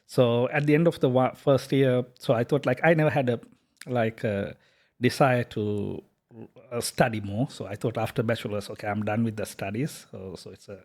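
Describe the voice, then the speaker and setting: A man, a software company founder talking casually in an interview, hesitant with many fillers and restarts. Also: medium pitch, steady tone, normal speed. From Sri Lanka. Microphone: podcast microphone.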